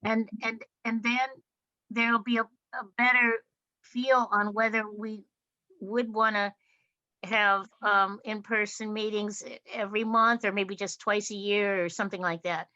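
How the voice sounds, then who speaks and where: A woman's voice: medium pitch, little pitch movement, measured pace, bright, forceful tone, no echo. A woman making her case in an informal online meeting, in a persuasive, questioning way. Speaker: club member in a meeting. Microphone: video call. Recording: dull, dry video-call audio.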